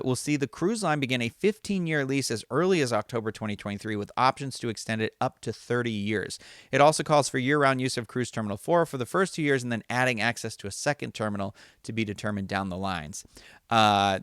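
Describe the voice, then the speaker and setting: A man with a smooth, clean voice at a middle pitch presents a news recap. He reads prepared items and slips into chatty, informal asides. The sound is close and dry. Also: fairly bright tone, no room sound. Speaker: host of a theme park news show.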